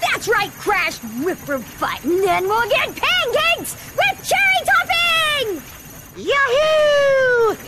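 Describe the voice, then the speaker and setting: Plucky kid-sister bandicoot: cartoon game, extremely high, peppy, animated, noisy effects behind. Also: female voice, slow, heavily acted. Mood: cheering. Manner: peppy, girlish, exclamatory. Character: perky, brainy young girl bandicoot, plucky little sister. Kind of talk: cartoon video game acting.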